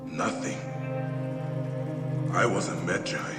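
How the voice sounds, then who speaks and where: Driven avenging father, video game drama, low pitch, demanding, music behind a reverberant voice. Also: steady tone, slow. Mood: grieving anger. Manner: intense, demanding. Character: grim, driven male avenger, a bereaved father and former warrior.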